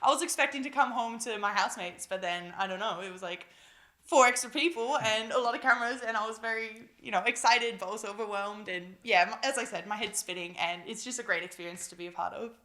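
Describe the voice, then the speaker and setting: A young woman with a Malaysian accent, a newly drafted footballer, chatting excitedly in a casual interview at home, with lots of fillers and giggles. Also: medium pitch, fast talker. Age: young adult. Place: busy house at home.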